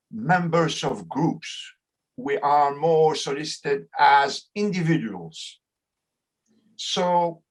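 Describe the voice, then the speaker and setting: A middle-aged sociologist with a French accent gives a lecture over a video call. He speaks slowly in a slightly high voice, pausing and restarting often as he searches for words in English. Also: rough recording. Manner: slow, halting, many fillers and restarts. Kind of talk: online lecture.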